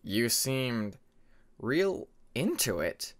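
A man's voice: medium pitch, very animated, drawn-out words. A man voicing a friendly, curious young male dragon classmate with a casual, easygoing way of talking.

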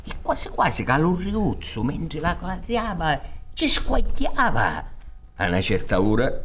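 A man in his sixties with a Sicilian accent narrating a folk tale in an emphatic, theatrical way. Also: mid-range voice. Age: 60s. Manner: emphatic, expressive, gesturing. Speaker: storyteller. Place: theater stage. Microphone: close studio microphone on stand.

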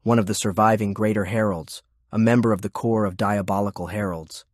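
Low-voiced man narrating an audiobook. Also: fairly even intonation, brisk, dry recording, studio quality. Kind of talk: scripted fiction narration.

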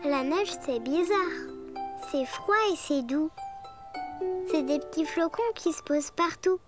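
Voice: high voice, measured pace. A performer voicing a little bear cub boy in a preschool cartoon, childlike, simple and prone to whining. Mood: delighted. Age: child character. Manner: childlike, simple, whiny when upset. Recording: music behind the voice.

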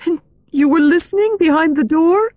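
A woman's voice: on the high side, measured pace, gravelly, a little mellow, no echo, clean studio sound, pitched above the performer's own voice. A woman playing a shy, emotional young woman who speaks guardedly in an audiobook story. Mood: alarmed, questioning.

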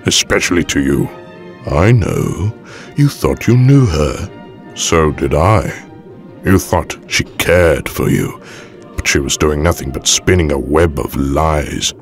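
An extremely deep, slow-spoken old aristocratic villain in an audio drama. He is formal, calm and manipulative, with silky menace, over background music. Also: creaky, full character voice. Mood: coaxing. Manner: measured, formal, silkily menacing.

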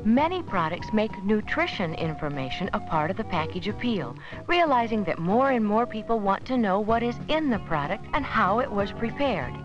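A woman giving measured, scripted narration for an educational film about supermarket shopping. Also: mid-range voice, very animated. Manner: measured, instructive. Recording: dull 1970s 16mm film soundtrack with music.

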